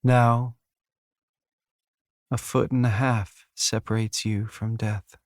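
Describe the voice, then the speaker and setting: A man voicing Death as a calm, aloof woman in a fantasy audiobook, speaking plainly and with restraint. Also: on the low side, no echo, clean studio sound. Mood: plainly reminding. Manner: plain, measured, subdued. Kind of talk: fantasy romance audiobook character.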